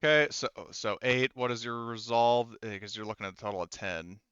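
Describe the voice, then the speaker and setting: Bright, clear-voiced man running a game. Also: medium pitch, fairly quick, dry recording, lo-fi sound. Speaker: game master. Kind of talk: casual tabletop game talk.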